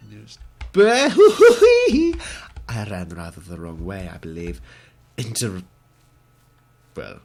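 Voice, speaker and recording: A man's voice: lowish voice, a little hiss or hum. A young man with a cold, sounding congested, giving wry, mock-posh let's play commentary through a headset. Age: young adult. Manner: mock-posh, wry, gentlemanly put-on accent. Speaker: gaming video creator.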